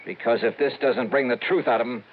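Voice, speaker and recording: A man's voice: medium pitch, a little fast, phone-quality sound, a little hiss or hum, close to an everyday voice. An actor playing a hard-boiled male investigator who narrates and questions people in a tough, impatient way, in an old radio drama broadcast. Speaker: lead and narrator.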